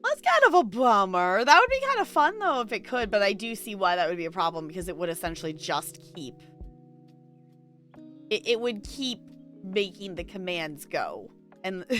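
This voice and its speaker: Bright, lively woman streamer chatting. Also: medium pitch. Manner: rambling, thinking aloud. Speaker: livestream host. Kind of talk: casual chat with live stream viewers.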